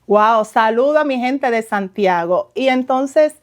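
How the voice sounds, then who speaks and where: Woman host, bit high pitched, asks questions warmly. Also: lively intonation, a little slow. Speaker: podcast host. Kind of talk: interview hosting.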